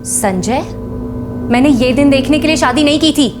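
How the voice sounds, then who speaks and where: An actress playing a discontented, demanding young wife in a TV crime drama, complaining and slipping into English phrases. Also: female voice, high-pitched, normal speed, close to an everyday voice. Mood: irritated, discontented. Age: young adult. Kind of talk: Hindi TV crime serial acting. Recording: dramatic background music.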